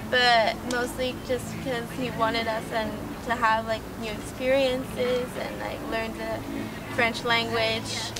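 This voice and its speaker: A young student talks in an easygoing, rather high voice. She is picked up from a distance, with loud music playing behind. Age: young adult. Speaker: student interviewee. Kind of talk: casual street interview.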